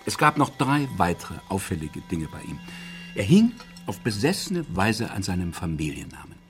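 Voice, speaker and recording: An actor playing an inquisitive, eccentric psychiatrist in a German radio crime drama, speaking deliberately and theatrically. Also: male voice, deep voice, very animated, faint music behind. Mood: grave, emphatic. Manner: theatrical, deliberate, fond of tag questions. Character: inquisitive, eccentric male psychiatrist, measured and probing.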